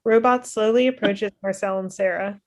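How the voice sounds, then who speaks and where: A woman's voice: medium pitch, fairly even intonation, moderate pace. A woman in her twenties reading stage directions matter-of-factly at a table read over a video call. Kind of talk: scripted narration at a table read. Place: indoor room at home.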